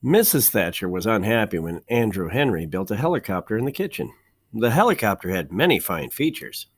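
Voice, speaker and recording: Man reading a storybook with very lively intonation. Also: fairly low pitch, a little fast. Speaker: teacher reading a storybook aloud. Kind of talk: expressive children's storybook narration.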